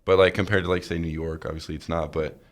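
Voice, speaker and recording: Deep-voiced young American man, rambling. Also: level tone. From rural Iowa, United States. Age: 20s. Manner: halting, self-correcting, rambling. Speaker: podcast co-host. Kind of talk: casual chat.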